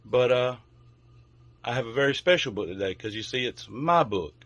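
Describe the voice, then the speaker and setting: A man's voice: medium pitch, lively intonation, moderate pace, a bit bright. A man hosting a children's bedtime story show, speaking directly to young listeners as a storyteller. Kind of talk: friendly introduction, then read-aloud children's storytelling.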